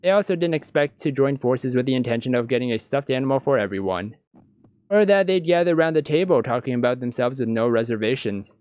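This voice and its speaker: A man reading visual novel text aloud as scripted narration, with a deliberate, dramatic storytelling delivery. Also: medium pitch, expressive, smooth, no echo, dull audio.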